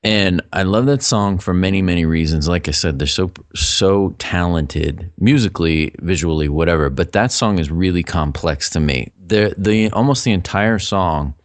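A middle-aged man with a low voice, co-hosting a casual podcast chat in a chatty, rambling way. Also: moderate pace, hardly any pauses, no room sound. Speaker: podcast co-host.

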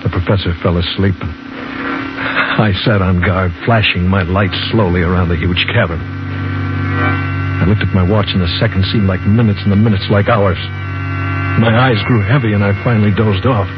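A man's voice: low-pitched, steady tone, moderate pace, natural voice. An actor playing an anxious, frightened man in an intense, melodramatic 1950s radio horror drama. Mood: tense narration. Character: anxious, frightened man trapped in caverns, narrating his ordeal. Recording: noisy, dull, roomy 1950s radio recording.

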